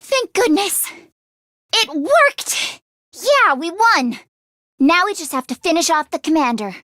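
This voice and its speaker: A woman voicing an earnest, squeamish teenage schoolgirl mechanic in an anime game, expressive and quick to exclaim. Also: very high-pitched, no echo, studio quality, heavily acted. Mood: relieved, gentle.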